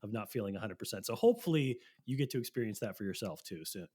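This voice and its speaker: A man co-hosting a podcast in casual chat, playful and swearing freely, his voice lowered by a lingering cold. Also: lively intonation, fast, dark tone, slightly rough. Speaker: podcast co-host.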